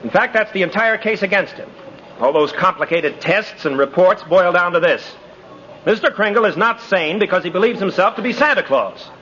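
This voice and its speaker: An actor playing an earnest, confident young courtroom lawyer in a 1950s radio drama. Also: male voice, high voice, animated, moderate pace, pushed and bright. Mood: earnest, insistent. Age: young adult. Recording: dull, noisy vintage radio recording.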